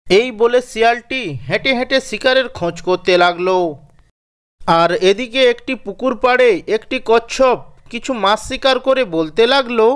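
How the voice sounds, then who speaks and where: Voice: mid-range voice, moderate pace, clear, resonant tone. A narrator reading a scripted children's cartoon story.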